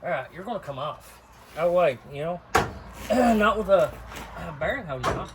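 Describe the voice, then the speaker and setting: A man's voice: sits high, moderate pace. A man, joking around while pulling brakes off a car at a salvage yard. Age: 20s. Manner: playful, joking, lively. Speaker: car hobbyist. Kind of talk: casual chat while working. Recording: clear background noise.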